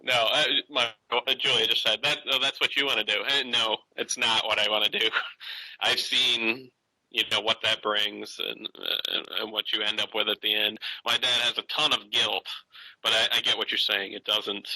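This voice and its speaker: A man's voice: on the low side, flat delivery, moderate pace, sharp tone, no room sound, poor audio. A man in his thirties calling in to a talk show, speaking hesitantly with frequent fillers. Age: 30s. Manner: hesitant, many fillers and restarts. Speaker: caller. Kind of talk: casual phone-in conversation.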